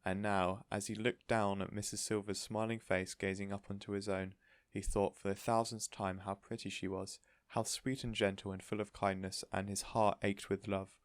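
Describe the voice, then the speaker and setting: Lowish male storybook narrator, dry sound. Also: flat delivery, moderate pace, no room sound. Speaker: audiobook reader. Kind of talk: scripted children's story narration.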